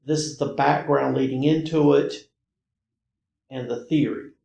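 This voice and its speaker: An American man casually recounting his own experiences as a guest, in halting, emphatic phrases and short repetitions. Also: medium pitch, fairly even intonation, creaky, a little mellow. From North Carolina, United States. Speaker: podcast guest recounting personal paranormal experiences. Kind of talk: casual conversational storytelling. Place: indoor room, in-person conversation. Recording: roomy, echoey indoor recording.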